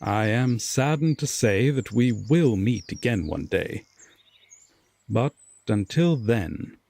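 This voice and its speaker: Slow, solemn Fae king, acted by a man. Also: lowish voice, a little mellow, exaggerated voice. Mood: gentle, cautioning. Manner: slow, formal, measured, with sighs and pauses. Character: solemn, regretful Fae king, secretly tender and flusterable. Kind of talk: slow audio roleplay drama addressed to the listener.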